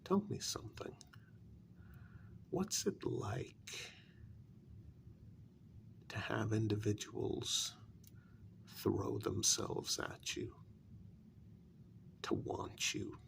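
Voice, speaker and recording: A man playing a brooding, bitter kidnapper in a soft-spoken ASMR role-play, gravelly and often slipping into a whisper, speaking deliberately with quiet menace. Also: fairly low pitch, expressive, a little hiss or hum, heavily acted. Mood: probing, quietly curious. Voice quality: gravelly, breathy, drifting into whisper. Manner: slow, deliberate, quietly menacing, with long pauses. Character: brooding, bitter male kidnapper confronting a captive demon.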